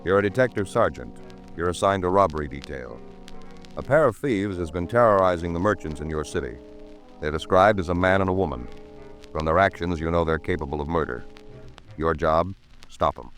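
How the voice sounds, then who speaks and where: A man's voice: sits low, animated, brisk, a bit bright. An American man announcing in a polished, emphatic 1950s radio style, with formal scripted delivery. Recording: vintage 1950s radio recording, music behind.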